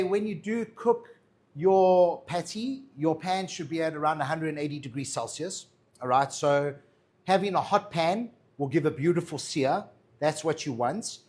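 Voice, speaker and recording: A man's voice: a little high, darkish tone. A man with a South African accent, a chef chatting casually through a cooking demo and answering viewer questions. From Madeira, raised in South Africa. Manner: chatty, reassuring, tags lines with "all right?" and "yeah?".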